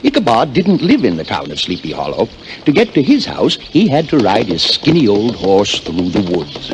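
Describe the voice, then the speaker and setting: A man narrating a spooky tale in a folksy storytelling style, with dramatic pauses and emphasis. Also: fairly low pitch, wildly swooping pitch. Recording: noisy old flexi-disc recording.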